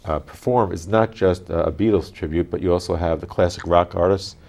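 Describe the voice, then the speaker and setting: An older man hosting a studio interview, asking questions in halting, circling phrases. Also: sits low, creaky, darkish tone. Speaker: TV talk show host. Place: small TV studio, indoors.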